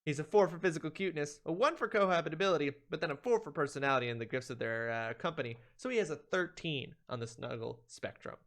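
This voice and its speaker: A man's voice: fairly high pitch, quick pace, hardly any pauses, fairly bright tone. A man hosting a stream, giving a playful, theatrical reading of a comedic ranked list with emphatic delivery. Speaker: streamer, host.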